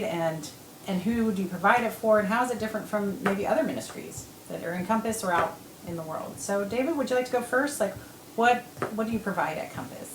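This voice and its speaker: Woman hosting a counseling panel. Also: mid-range voice. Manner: friendly, affirming, with many backchannels. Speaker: host, clinical director. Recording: echoey room, faint noise.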